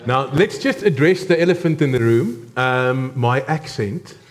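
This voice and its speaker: A man's voice: mid-range voice, animated, measured pace. A man in his thirties with a South African accent, preaching a conversational sermon to a church congregation with frequent ums. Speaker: guest preacher.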